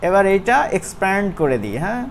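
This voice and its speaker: A man gives a casual, chatty software tutorial in an informal, colloquial way, often checking in with his listeners. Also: sits high, very animated, quick pace. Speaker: animation course instructor. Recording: clear background noise.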